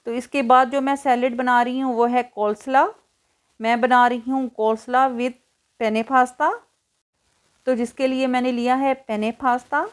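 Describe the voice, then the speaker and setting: A woman's voice: high-pitched, level tone, normal speed. A woman hosting a cooking tutorial in voice-over, explaining each step in an instructional way. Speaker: cooking show host.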